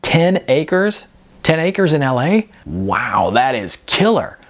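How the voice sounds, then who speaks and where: A man voicing an excitable, inquisitive younger slacker in an audiobook, slangy and full of incredulous questions. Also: fairly high pitch, slow pace, pitched above the performer's own voice. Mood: amazed. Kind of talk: audiobook character dialogue.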